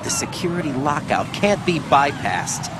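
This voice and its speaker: A man's voice: a little high, big pitch swings, normal speed, very noisy. An actor playing an earnest, uneasy young soldier in a video game, questioning what he is told. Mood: incredulous. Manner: questioning, incredulous. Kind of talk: video game cutscene voice acting.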